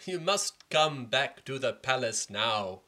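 A man's voice: mid-range voice, expressive, takes it slowly, bright, forceful tone. Man doing an urgent royal messenger. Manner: formal, urgent.

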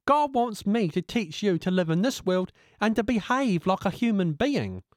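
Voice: mid-range voice, very animated, normal speed, no echo, studio quality, voice pushed up, lightly acted. A storyteller voicing a plain-spoken male taxi driver who lectures his passenger with blunt wisdom, in a read-aloud story. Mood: wise advising.

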